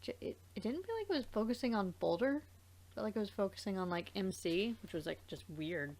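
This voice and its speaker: A woman hosting a let's play, chatting casually in a rambling, halting way and circling back mid-thought. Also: mid-range voice, lively intonation, darkish tone. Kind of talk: casual gaming chat.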